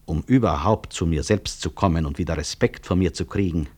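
Weary, husky, deep-voiced professor. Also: male voice, darkish tone. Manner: reflective, articulate, deliberate stage delivery. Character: weary, proud male physician-professor, disillusioned and wryly self-mocking. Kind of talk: 1960s German radio drama acting.

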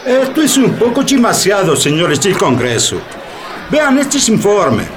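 High-pitched, wildly swooping alarmed official. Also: male voice, very animated, measured pace, background noise. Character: alarmed official reporting mineral smuggling to congress. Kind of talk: radio drama acting.

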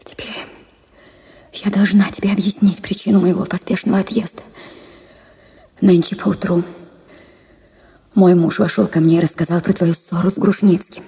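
An actress playing a heartbroken married woman reading her farewell letter to a lover in a radio drama, in a hushed, slow, confessional way. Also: on the low side, little pitch movement, creaky. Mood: anguished. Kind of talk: Russian literary radio drama, letter monologue. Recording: music under the voice. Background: music.

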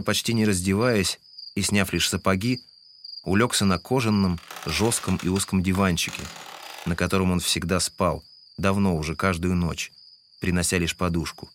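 Low-voiced male audio drama narrator. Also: fairly bright tone, slight background noise. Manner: composed, literary storytelling.

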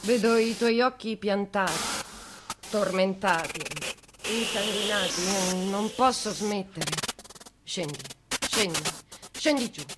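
An actress playing a working-class woman in a theatrical radio monologue, haunted by memory, halting and repeating herself as she searches for words. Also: medium pitch, heavy background noise, lightly acted. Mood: anguished pleading. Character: working-class Italian woman haunted by remembering a national tragedy. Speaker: stage actress performing a monologue.

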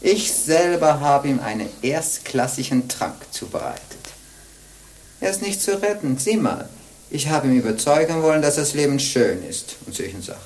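A woman's voice: low voice, expressive, normal speed, a little mellow. An actress in a German radio play playing a sly old witch go-between, coaxing and chuckling in a theatrical way. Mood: mocking, amused. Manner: wheedling, chuckling, theatrical swings.

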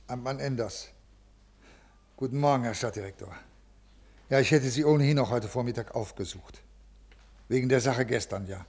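An actor playing a brusque, uneasy senior official with a halting, officious manner in a 1950s radio drama. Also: male voice, mid-range voice, a little mellow, slight background noise, lightly acted. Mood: deferential, uneasy. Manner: officious, halting, irritable with subordinates. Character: brusque, uneasy male senior bureaucrat, troubled by conscience. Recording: old 1950s radio recording.

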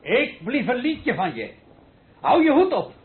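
A generous gentleman in a radio play. He is breezy and friendly as he gives orders, with a very lively melody. The old recording is dull, with faint music. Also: extremely high pitch, measured pace, heavily acted. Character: generous gentleman customer wanting cheerful songs. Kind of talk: Dutch radio play acting.

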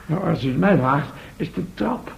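An actor in a radio drama playing a cheeky, plucky companion who grumbles bluntly in a creaky voice. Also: male voice, a little high, animated, moderate pace, dull audio, slight background noise. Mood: grumbling. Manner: blunt, complaining.

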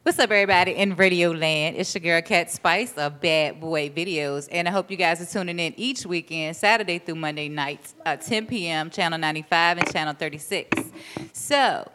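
A middle-aged grandmother, guest on a radio talk show, telling stories in a lively, preachy way. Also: fairly low pitch, normal speed. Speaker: guest co-host. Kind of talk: casual radio chat.

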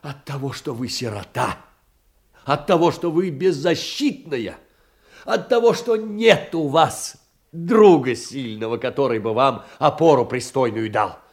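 An actor playing a poor, meek, aggrieved middle-aged clerk in a theatrical audio drama, plaintive and tender with agitated outbursts. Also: male voice, medium pitch, lively intonation, unhurried, slightly rough. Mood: plaintive, indignant. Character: poor, meek, aggrieved clerk pouring out his heart in letters.

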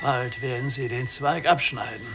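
An actor playing a weary, withdrawn old blind prisoner in a literary radio play, terse and resigned. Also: male voice, medium pitch, moderate pace, noisy background, natural voice. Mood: insistent. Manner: terse, resigned, demanding questions.

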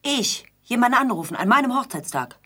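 An actress playing an aggrieved, nagging newlywed bride in an absurdist radio play, theatrical and pointed, with a husky voice. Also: female voice, a little high, very animated, brisk, fairly bright tone, heavily acted. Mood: scornful, aggrieved. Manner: theatrical, pointed, sardonic repetition.

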